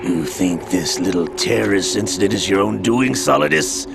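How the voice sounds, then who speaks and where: An actor playing a cold, scheming older male villain in a video game, calm, measured and mocking as he lays out a plot. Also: on the low side, gravelly. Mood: smug, coldly explaining. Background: game score music.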